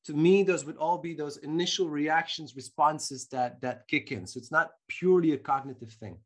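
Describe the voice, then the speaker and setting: A marketing professor answering interview questions thoughtfully, with frequent restarts and fillers. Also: male voice, fairly high pitch, moderate pace. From Belgium.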